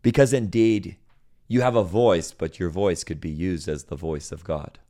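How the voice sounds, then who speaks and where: A man from Senegal preaching a sermon and reading scripture, repeating phrases and building to loud, emphatic peaks. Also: low voice, moderate pace.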